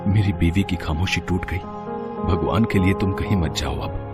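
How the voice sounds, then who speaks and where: A man's voice: sits low, normal speed, music in the background, natural voice. A man playing a wealthy, grieving father and husband who speaks in an earnest, pleading and dramatic way in an audio story. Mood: relieved pleading. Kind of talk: acted dialogue in a Hindi audio moral story.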